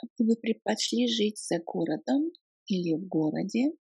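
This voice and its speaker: A woman reading short practice sentences, each followed by a slow repetition split into chunks. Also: medium pitch, lively intonation, gravelly, darkish tone, slightly rough, no room sound, studio quality. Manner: reads each sentence, then repeats it slowly in chunks. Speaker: language teacher. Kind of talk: slow phrase-by-phrase drill reading.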